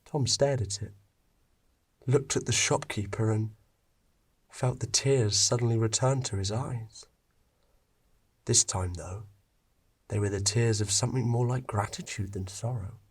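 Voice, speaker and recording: A man's voice: fairly low pitch, a little slow, pauses often, slightly rough. A man narrating a scripted story as its reader.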